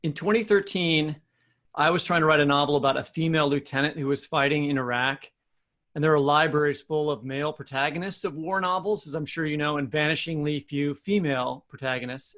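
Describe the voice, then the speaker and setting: A man with an American accent co-hosting an interview, speaking conversationally and effusively, with halting restarts. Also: a little high, fairly bright tone. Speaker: podcast co-host.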